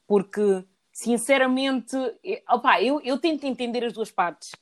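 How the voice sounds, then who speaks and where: A woman's voice: on the high side, unhurried. Earnest young woman talking things through. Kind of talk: casual chat.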